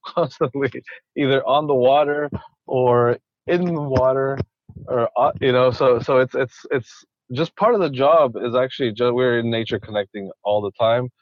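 A man's voice: medium pitch, normal speed, dry recording, highs cut off. A man from Ecuador, a conservationist guest, talking casually in an interview with many restarts and repeated words.

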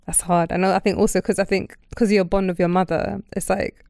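A woman's voice: medium pitch, little pitch movement, quick pace, vocal fry, darkish tone, no echo, studio quality. A woman interviewing in a casual, supportive conversation, with an empathetic, affirming way of talking.

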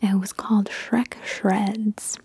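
A woman chatting informally and ramblingly in a breathy ASMR whisper, answering viewer questions as a video creator. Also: medium pitch, level tone, slow pace, dark tone, no room sound.

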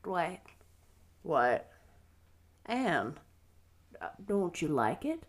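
A shy older man from a storybook, acted by a woman, pitched down low. He is gentle and hesitant, halting over his few words and repeating them. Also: very animated, full character voice. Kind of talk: storybook narration character voice.

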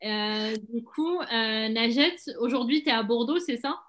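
A woman's voice: a little high, unhurried, fairly bright tone. A woman hosting a podcast, who reads a scripted welcome and then asks brief questions. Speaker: podcast host. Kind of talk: podcast interview.

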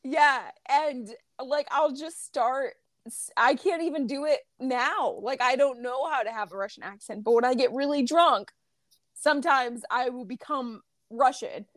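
A woman's voice: high-pitched, unhurried, no echo. A young woman co-hosting a podcast, chatting casually with a loose, teasing manner and casual swearing. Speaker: podcast co-host. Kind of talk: casual chat.

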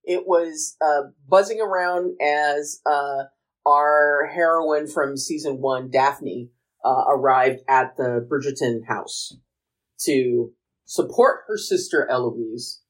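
A woman's voice: deep voice, takes it slowly, poor audio. A woman co-hosting a casual recap chat, enthusiastic and emphatic, often repeating herself for effect. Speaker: podcast co-host. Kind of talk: casual, chatty TV recap discussion.

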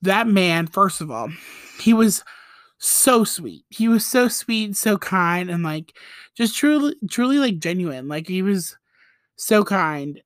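A woman's voice: mid-range voice, lively intonation, takes it slowly, no echo, studio quality. A young woman podcast host telling a story in a casual, chatty way, full of "like" and "you know" and laughing asides.

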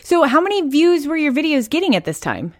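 A woman's voice: high-pitched, sing-song, fairly quick, no echo, clean studio sound. A woman hosting a podcast who interviews her guest in an encouraging, reflective way, with many casual fillers. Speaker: podcast host.

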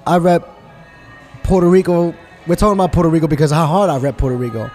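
A man's voice: on the high side, quick pace, a little mellow, faint music behind. A man from New York in a casual conversation, talking emphatically and often asking "you understand?" to check he is followed. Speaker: guest.

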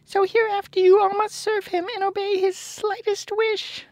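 A very high, squeaky little storybook mouse queen, acted out by a man. She speaks politely but with imperious, easily offended dignity in a thin falsetto, recorded dry and close. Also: little pitch movement, normal speed, dry recording. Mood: regal. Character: tiny indignant queen of field mice, squeaky and regal. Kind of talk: storybook character voice.

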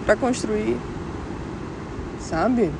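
A woman's voice: mid-range voice, very slow, gravelly. Young woman, informal reflective monologue, outdoors by the sea, noisy background. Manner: informal, chatty, slang-filled, addresses viewers directly. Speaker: vlogger. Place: outdoors on a clifftop by the beach.

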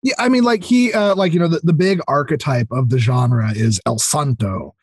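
A man in his thirties chatting casually as a podcast guest, explaining things enthusiastically in a halting way full of fillers. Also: fairly high pitch, a little mellow, slightly rough, no room sound, studio quality. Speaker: podcast guest, audio drama creator. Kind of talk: casual interview chat.

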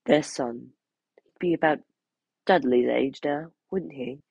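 A man's voice: on the high side, slow pace, vocal fry, a little mellow, husky, no room sound, dull audio, exaggerated voice. A man doing a nervous, stammering husband. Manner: hesitant and stammering. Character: nervous middle-aged husband, stuffy and uneasy. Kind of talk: storybook reading.

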